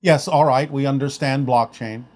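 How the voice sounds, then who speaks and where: A man's voice: medium pitch, steady tone, normal speed, lightly acted. A man channeling a formal spirit guide. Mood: explaining. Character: calm formal male spirit guide answering questions. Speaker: channeled entity answering audience questions. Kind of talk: spoken Q&A session.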